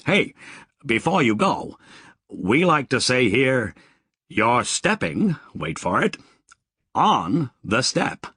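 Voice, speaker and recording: A man's voice: medium pitch, big pitch swings, slow, pauses often, fairly bright tone, husky, no echo, studio quality, voice pushed up, heavily acted. A man voicing a friendly, queasy male rest-stop worker with a Boston accent, chatty and joking.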